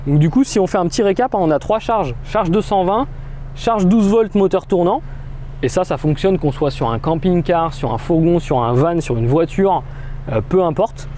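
A man in his thirties talks through a product demo outdoors, chatty and explanatory, filling pauses as he goes. His voice sits fairly high but sounds mellow. Faint outdoor noise hangs behind him. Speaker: product demonstrator. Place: outdoor car lot beside a car.

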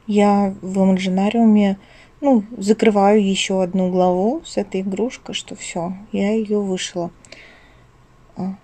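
Mellow-voiced woman chatting casually. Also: medium pitch. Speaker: craft vlogger, channel host. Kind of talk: casual chatty craft vlog. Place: at home, indoors.

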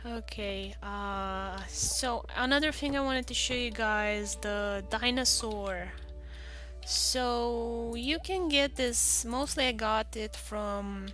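A woman's voice: on the high side, takes it slowly, fairly bright tone, faint music behind. A woman giving casual game walkthrough commentary, thinking aloud in a hesitant way. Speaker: gaming video host.

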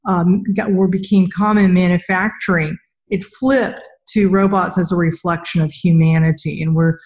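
A woman's voice: lowish voice, steady tone, normal speed, a little mellow. A middle-aged woman hosting a casual interview on a video call, talking conversationally. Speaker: podcast host interviewing an author. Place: indoors against a plain wall, video call.

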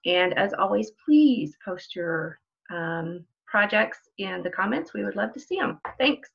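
A middle-aged woman speaks as a children's librarian leading story time. She explains things in simple terms for young listeners, at an average pitch. The sound is dull and lo-fi, like a webcam recording made at home. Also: lively intonation, measured pace, vocal fry, fairly bright tone. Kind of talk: children's story time read-aloud and craft instructions. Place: indoors in a room at home.

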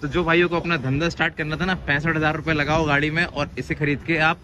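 Fast-talking young man vlogging outdoors. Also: a little high, little pitch movement, sharp tone, slight background noise. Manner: chatty, asks questions, repeats "okay, okay". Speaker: car vlogger host. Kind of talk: casual vlog walkthrough. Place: outdoor used car lot.

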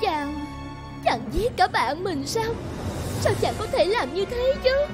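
An actress playing a gentle, anxious, devoted village bride in a cartoon folk tale, pleading and hesitant. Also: female voice, very high-pitched, big pitch swings, takes it slowly, over music, heavily acted. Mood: horrified. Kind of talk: animated folk-tale dialogue.

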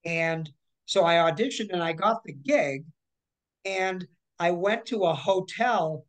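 A woman in her forties, speaking over a video call from her living room. She tells her stories in a lively, chatty way, breaking off and restarting mid-sentence. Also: on the low side, slow. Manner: chatty, animated, with many restarts and hand gestures. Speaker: guest voice actor. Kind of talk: casual interview storytelling.

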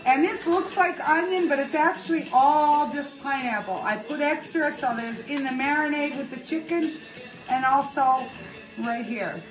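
A woman's voice: high-pitched, moderate pace. Chatty woman in an echoey kitchen. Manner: chatty, laughing, explains each step. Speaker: cooking show co-host. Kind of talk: casual home cooking demo. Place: home kitchen, indoors. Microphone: far camera. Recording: echoey room from far off with music.

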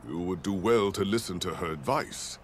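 An actor playing a stern, grave older knight in a video game, speaking in a formal, measured way. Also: male voice, low voice, animated, slight background noise. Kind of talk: dubbed game dialogue.

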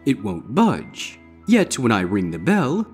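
A man voicing a theatrical, ominous pony stage magician with deliberate showman's patter in a storybook reading. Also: mid-range voice, wildly swooping pitch, a little mellow, husky, faint music behind, pitched above the performer's own voice, heavily acted. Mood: commanding, eerie. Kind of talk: character voice in audiobook-style fan fiction reading.

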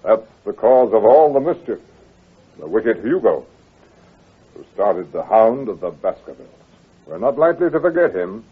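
An actor playing a genial, trusting gentleman heir in an old radio drama, husky and a little hesitant, on a muffled, tinny recording. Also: fairly low pitch, big pitch swings, normal speed, pauses often, heavily acted. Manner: hesitant, stammering, hearty.

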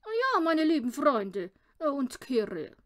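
A woman voicing a pompous, self-satisfied little male magician in a children's storybook, with grandiose, drawn-out announcements. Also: sits high, big pitch swings, exaggerated voice. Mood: showy, boastful.

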